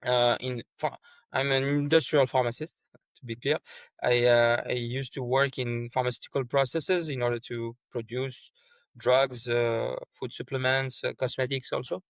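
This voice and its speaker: A man's voice: medium pitch, flat delivery, moderate pace, gravelly, no echo. A man, a startup founder speaking earnestly as an interview guest, often repeating words and restarting his sentences. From France. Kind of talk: conversational interview.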